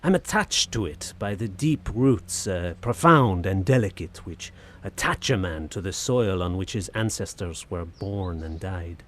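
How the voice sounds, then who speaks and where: A man's voice: sits low, expressive, measured pace, few pauses, a bit bright, a little hiss or hum. An actor playing a genteel, poetic Frenchman of the 1880s in an audio drama, speaking in a florid, theatrical manner. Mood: wistful delight. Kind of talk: audio drama acting, diary narration and dialogue. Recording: music behind the voice.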